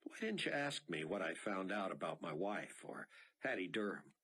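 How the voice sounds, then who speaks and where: Calm middle-aged captain, measured, husky voice, read by a man. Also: sits low, exaggerated voice. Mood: probing.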